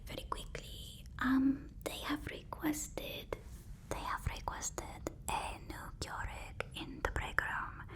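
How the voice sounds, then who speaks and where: A woman whispering an ASMR roleplay as a deferential, fussy personal assistant to a supervillain, speaking haltingly and repeating her phrases. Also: sits high, darkish tone. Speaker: ASMR performer.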